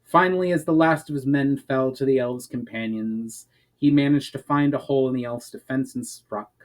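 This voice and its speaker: A man's voice: mid-range voice, moderate pace. Male storyteller for fantasy fiction; mid-range voice, earnest dramatic delivery, phrases that drop at the ends. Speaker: author reading own story chapter aloud.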